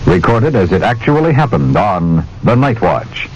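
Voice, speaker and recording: A man announcing formally and emphatically in a measured old-time radio style. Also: fairly low pitch, very animated, a little mellow. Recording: muffled, faintly noisy vintage radio broadcast.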